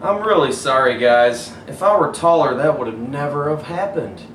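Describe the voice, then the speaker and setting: A man's voice: medium pitch, expressive, normal speed, a bit bright, lightly acted. Man voicing an earnest young boy, echoey. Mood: apologetic. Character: young boy, downcast but hopeful and curious. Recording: roomy echo, distant mic, faint noise.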